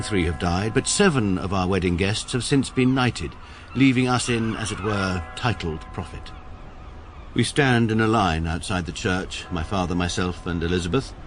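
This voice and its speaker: An actor in his forties playing a pompous, precise barrister who narrates in a dry, deadpan, wry manner. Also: sits low, a little hiss or hum, close to an everyday voice. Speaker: first-person narrator and lead character.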